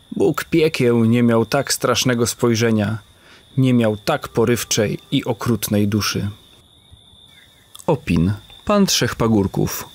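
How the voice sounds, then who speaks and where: A man giving solemn, scripted narration for a comic-book audio drama. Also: medium pitch.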